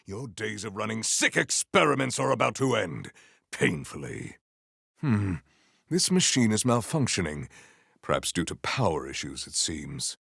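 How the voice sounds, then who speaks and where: An actor playing a formal, valiant, earnest warrior hero in a video game, with stately, theatrical diction. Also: male voice, fairly low pitch, big pitch swings, measured pace, creaky, fairly bright tone, slightly rough, no echo, clean studio sound, full character voice. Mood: composed, grave. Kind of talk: scripted video game character lines.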